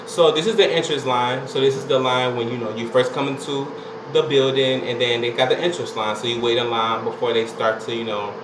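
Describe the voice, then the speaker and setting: A man's voice: mid-range voice, fairly even intonation, brisk. A man, casually talking through his experience in a rambling, chatty way with lots of fillers. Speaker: vlogger. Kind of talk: casual vlog recounting a singing audition. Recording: echoey room with loud air-conditioning hum.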